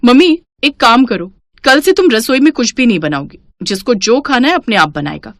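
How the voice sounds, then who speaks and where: A woman's voice: fairly high pitch, expressive, moderate pace, no echo. A woman narrator voicing an indignant, protective grown daughter in a family story, firm and emphatic.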